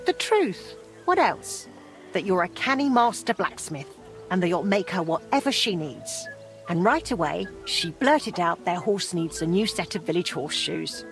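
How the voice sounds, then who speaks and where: A woman playing a brisk, plain-spoken medieval shop helper in a video game, with a breezy, matter-of-fact manner. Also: lowish voice, sing-song, faint music behind. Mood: brisk, proud. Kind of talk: naturalistic game dialogue.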